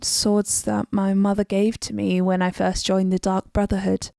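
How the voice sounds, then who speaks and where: A woman's voice: mid-range voice, level tone, normal speed, gravelly, mellow, no echo, studio quality, lightly acted. A woman playing a determined, vengeful assassin warrior in scripted game dialogue. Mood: proud, solemn. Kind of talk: scripted game character voice acting.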